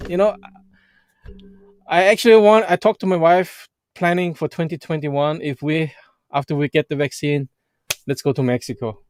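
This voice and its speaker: German-native man, lively livestream host. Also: fairly high pitch, clean tone, no echo. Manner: halting, restarts and fillers, animated. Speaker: vlog host. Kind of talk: casual livestream chat. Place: Edmonton, Canada.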